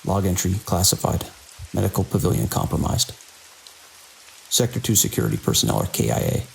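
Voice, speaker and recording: A man acts out a grim security chief in a survival horror audio drama. His voice is deep and crackles with gravel as he speaks tersely and gravely, like someone keeping an official log under pressure. A faint hiss like rain sits behind him. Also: big pitch swings, a little fast, full character voice. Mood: grim, bitter. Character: grim, embittered male security chief of a research facility.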